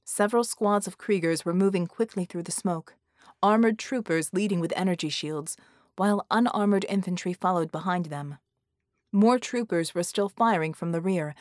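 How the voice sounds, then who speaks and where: A woman's voice: lowish voice, moderate pace, no room sound, studio quality. A woman reading an action story as an audiobook narrator, in a dramatic scripted style.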